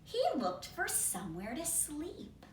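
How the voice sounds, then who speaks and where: Animated woman reading a children's story. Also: sits high. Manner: expressive, deliberate, with simple asides to young listeners. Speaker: storyteller reading a picture book aloud. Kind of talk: children's read-aloud narration. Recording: roomy, distant, noisy home recording.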